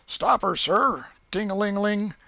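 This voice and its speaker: A man's voice: high-pitched, measured pace, vocal fry, sharp tone, dry recording, pitched above the performer's own voice, exaggerated voice. A man voicing a boisterous storybook boy who plays steamboat captain, barking orders and imitating bells and engine noises. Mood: commanding, excited. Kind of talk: storybook character voice in an audiobook.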